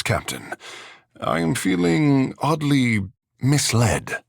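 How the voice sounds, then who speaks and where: Vain, theatrical young charmer, husky and lively. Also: male voice, medium pitch, dry recording, clean studio sound, heavily acted. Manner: theatrical, playfully pompous, flowery formal phrasing. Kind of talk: video game character dialogue and barks.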